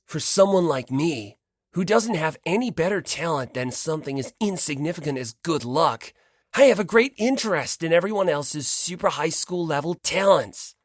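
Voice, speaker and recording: A man's voice: fairly high pitch, lively intonation, moderate pace, dry recording, studio quality. A man voicing a cheerful, self-deprecating teenage boy who needles with frivolous, insincere politeness in an audiobook. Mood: eager, probing.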